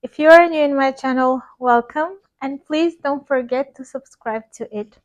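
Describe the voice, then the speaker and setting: A woman's voice: sits high, normal speed. A woman, an English speaker and teacher, chatting frankly to camera with frequent fillers. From Armenia. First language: Armenian. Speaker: vlogger and ESL teacher. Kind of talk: casual talk to camera.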